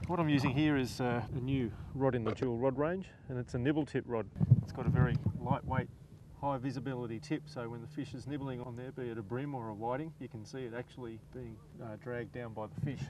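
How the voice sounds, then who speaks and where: A man presenting a fishing show in shallow water on tidal flats, explaining his gear in a relaxed way. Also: medium pitch, fairly quick. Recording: distant outdoor sound with clear wind and water noise.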